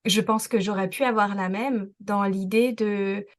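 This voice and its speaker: A woman's voice: mid-range voice, fairly even intonation, unhurried. Woman host asking reflective questions. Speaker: podcast host. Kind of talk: interviewing conversation.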